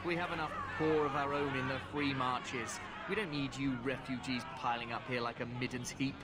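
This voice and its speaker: A man's voice: medium pitch, steady tone, moderate pace, heavy background noise, lightly acted. A man playing a surly, scornful city gate guard in a fantasy game. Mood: scornful, weary. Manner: scornful, weary, dismissive. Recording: loud outdoor game ambience.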